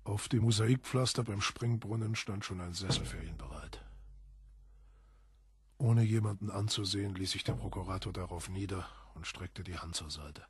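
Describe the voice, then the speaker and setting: A man narrating a literary audiobook in a husky voice, with solemn, measured, dramatic emphasis. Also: low voice, no room sound.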